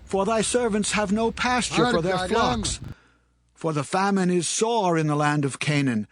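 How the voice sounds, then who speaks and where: A man's voice: high voice, a little slow, no echo. A man playing a humble shepherd pleading with a ruler in a dramatized scripture reading. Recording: crowd noise behind.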